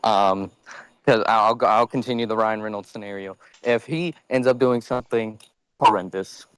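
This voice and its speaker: A man's voice: lowish voice, normal speed, fairly bright tone, smooth, no echo, rough recording. A man chatting casually on a podcast in a blunt, joking way. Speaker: podcast speaker. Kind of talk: casual chat.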